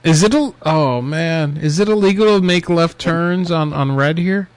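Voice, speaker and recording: Guy prank calling, high voice, acts all panicky and keeps blaming the other guy, slight hiss behind. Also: measured pace. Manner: feigned panic, accusing and insistent. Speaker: radio prank caller. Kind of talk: prank phone call.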